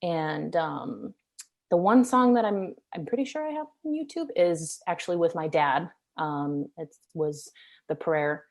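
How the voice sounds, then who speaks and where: Low-voiced young woman chatting casually. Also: a little slow. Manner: relaxed, rambling with many fillers. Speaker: singer-songwriter guest. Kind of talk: casual interview chat.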